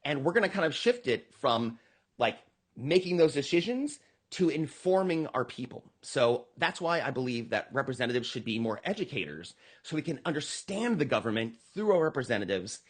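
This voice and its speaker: American male, political candidate in a podcast interview; lively inflection, a touch of husk, earnest and emphatic. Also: mid-range voice, moderate pace. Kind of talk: conversational campaign pitch in a podcast interview.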